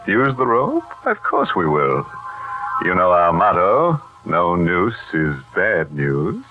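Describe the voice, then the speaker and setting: A man's voice: sits low, huge pitch swings, slow pace, soft background music. A man hosting a 1940s radio mystery show in a mock-genial, sardonic, macabre manner, on a tinny old recording.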